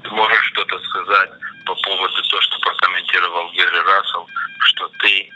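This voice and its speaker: A man, interpreting in measured, halting phrases on a conference call. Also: deep voice, flat delivery, pushed and bright. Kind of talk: consecutive interpreting on a press call. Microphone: telephone conference call. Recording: muffled phone line, faint noise.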